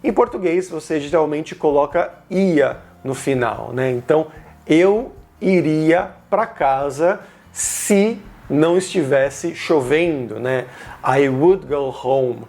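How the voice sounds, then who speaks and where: A man with a Brazilian accent speaks in a somewhat high-pitched voice. He teaches in an informal, explanatory way, like a video host walking learners through a lesson, and leans on key words for emphasis. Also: vocal fry, a little mellow. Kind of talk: informal grammar lesson to camera.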